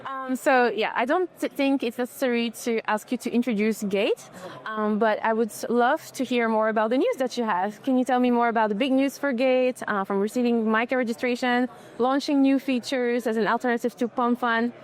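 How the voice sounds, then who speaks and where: Woman, interviewer, conference booth, upbeat and friendly, prepared questions, higher pitch. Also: steady tone, a little fast.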